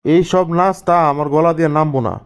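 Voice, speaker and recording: A man's voice: fairly high pitch, fairly even intonation, fast, smooth, close to an everyday voice. A man voicing an exasperated young husband in a cartoon family comedy, scolding and questioning in a Bangladeshi Bengali accent. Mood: scolding, fed up.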